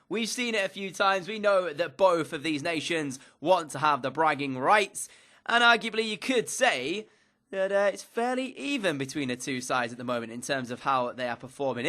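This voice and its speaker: A young man with a bright, forceful voice pitched a bit high, talking through football matches like a commentator. His nose is a little blocked from a cold, and he scatters fillers through his upbeat delivery into a desk mic. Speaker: gaming YouTuber. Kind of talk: recap commentary of a football career mode.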